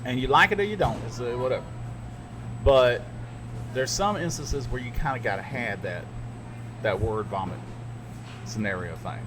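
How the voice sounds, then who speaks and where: A middle-aged man who works as a radio DJ talks through his stories in a loose, rambling way, often laughing at himself. His voice sits at an ordinary pitch. The recording has steady background noise. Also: moderate pace, pauses often. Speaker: podcast co-host and radio DJ. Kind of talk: casual chat.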